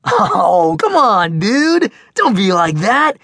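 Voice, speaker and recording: A man voicing a huge, rowdy teenage boy who talks loud and jokes. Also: high-pitched, huge pitch swings, takes it slowly, dry recording, studio quality, voice pushed up, exaggerated voice. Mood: teasing. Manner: loud, blasting, joking.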